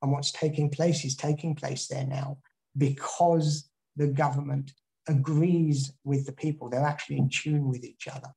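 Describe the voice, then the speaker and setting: Man from the UK, gravelly voice, guest speaker, rambling with restarts. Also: a little high, steady tone, normal speed, darkish tone, rough recording. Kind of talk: online talk and Q&A.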